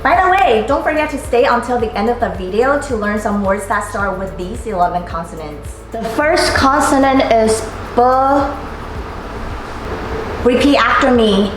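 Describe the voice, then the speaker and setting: Lady teaching pronunciation, high voice, super roomy echo, cheerful and playful. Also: animated, moderate pace. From Taiwan. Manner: upbeat, playful, drilling sounds clearly for repetition. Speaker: Mandarin teacher. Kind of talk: scripted language lesson. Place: living room at home. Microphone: camera at a distance, seated facing it. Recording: very echoey room, faint music.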